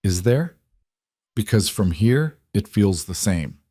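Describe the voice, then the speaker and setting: A man's voice: lowish voice, animated, slow, mellow, husky, no echo, clean studio sound, heavily acted. Man voicing a weary, defiant estranged wife. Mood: resentful. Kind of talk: audiobook character dialogue.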